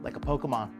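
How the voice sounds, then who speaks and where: A slightly hoarse man hosting a live reaction stream, chatty and mocking, greeting viewers in chat by name. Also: medium pitch. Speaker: commentary livestream host. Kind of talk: casual live reaction commentary.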